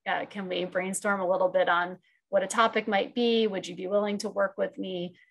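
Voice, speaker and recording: A woman in her forties, a program chair, answering questions on a video call through wired earbuds. She pauses often and rethinks her answers as she goes. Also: medium pitch, fairly even intonation, vocal fry. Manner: hesitant, many fillers and restarts, thinks aloud. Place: room at home.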